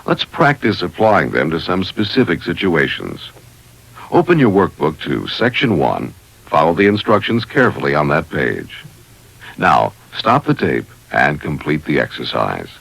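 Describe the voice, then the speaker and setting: A man's voice: extremely low pitch, huge pitch swings, a little slow, gravelly, a bit bright, husky, low-quality recording. A man reading scripted instructional narration in a formal, methodical way, as the narrator of a training video.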